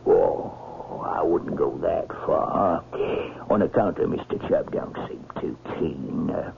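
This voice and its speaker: An actor playing a gruff, plain-spoken sea captain with a gravelly voice and halting, folksy speech in a vintage radio drama. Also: male voice, very deep voice, lively intonation, exaggerated voice. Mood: awkward, reluctant. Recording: muffled, noisy old radio recording.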